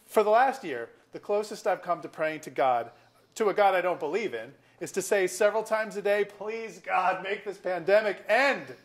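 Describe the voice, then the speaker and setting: A man's voice: sits high, expressive, normal speed. A bitter middle-aged man played by an actor in a stage play reading. He talks in wry, wordy, sarcastic turns, like a small-town lawyer nursing a grudge, in naturalistic dialogue. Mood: ranting. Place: auditorium.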